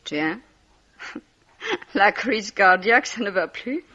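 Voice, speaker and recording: A woman's voice: mid-range voice, sing-song, takes it slowly, pushed and bright, exaggerated voice. Wrecked, volatile young drunk woman for radio mystery; slurred, piercing voice, dull old recording. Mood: hysterical, despairing. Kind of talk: 1950s French radio mystery acting.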